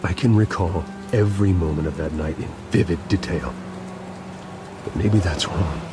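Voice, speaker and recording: A man's voice: low-pitched, moderate pace, over music, close to an everyday voice. An actor playing a troubled young billionaire man, speaking in an intense, clipped, brooding way. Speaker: billionaire heir. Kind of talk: video game cutscene acting. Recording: game audio with rain ambience. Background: rain and ambience.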